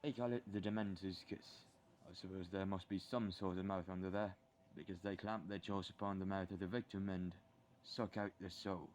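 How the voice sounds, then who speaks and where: A man playing a gentle, thoughtful schoolteacher in an audio drama, with a measured, kindly way of talking. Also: sits low, steady tone, a little hiss or hum, lightly acted. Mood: grave. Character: gentle, thoughtful male schoolteacher mentoring a pupil. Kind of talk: fan audio drama acting.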